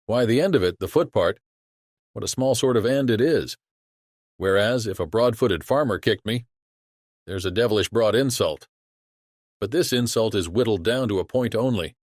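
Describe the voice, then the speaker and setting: A man's voice: fairly low pitch, normal speed, long pauses, no echo, studio quality, lightly acted. A man playing a chatty sailor who tells a yarn to his shipmate in colloquial, exclamatory audiobook acting. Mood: animated musing.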